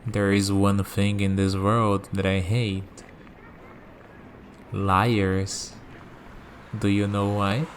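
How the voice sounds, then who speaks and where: A man playing a calm, cynical villain with godlike power in an ASMR roleplay, speaking in a deliberate, measured way with dramatic pauses. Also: fairly low pitch, slight background noise. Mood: cold, contemptuous.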